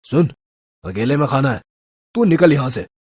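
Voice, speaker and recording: A man's voice: mid-range voice, expressive, moderate pace, darkish tone, breathy edge, no room sound, clean studio sound. A man playing a drunk, lecherous local thug boss in a story, leering and drawling street slang. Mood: swaggering. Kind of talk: Hindi audio story character acting.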